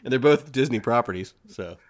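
A man hosting a fan podcast in casual chat, talking excitably and repeating words for emphasis. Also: medium pitch, a little fast. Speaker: podcast host.

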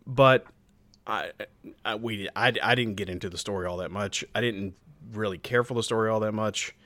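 A man's voice: lowish voice, normal speed, a bit bright, no echo. A man co-hosting a podcast in casual, conversational talk. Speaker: podcast co-host.

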